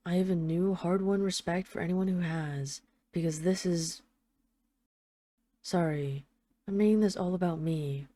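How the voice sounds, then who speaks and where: A woman playing an apologetic, lovesick mafia boss in hiding, speaking in an earnest, halting, confessional way, as in an intimate audio roleplay phone call. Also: fairly low pitch, dark tone, close to an everyday voice.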